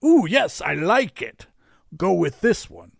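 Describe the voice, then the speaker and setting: A man's voice: high voice, big pitch swings, slow pace, creaky, no echo, studio quality, voice pushed up, exaggerated voice. A man voicing a blustery, flustered old Santa Claus with a theatrical manner in an audiobook. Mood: pleased. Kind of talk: audiobook character voice.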